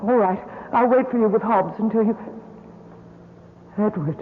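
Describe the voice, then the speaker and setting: A woman's voice: mid-range voice, normal speed, lightly acted. A woman playing a well-bred young manor heiress in an old-time radio mystery, formal and easily shaken. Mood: uneasy, faltering. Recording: noisy narrowband old radio broadcast.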